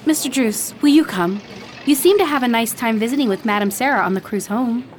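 A woman's voice: on the high side, a little fast, slight background noise, natural voice. A woman playing an eager, awestruck young lady in a radio drama, with a dramatic, pleading manner.

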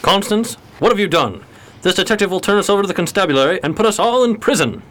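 An actor playing a timid, fretful gentleman gambler with a prim, stammering manner in a 1920s crime radio drama. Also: high voice, animated, quick pace. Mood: panicked.

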